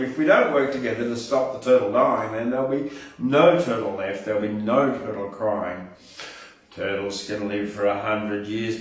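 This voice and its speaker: Echoey, distant Australian man reciting poetry. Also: mid-range voice. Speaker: poetry show host and poet. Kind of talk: performance poetry recital with casual hosting. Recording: very echoey room, distant from mic.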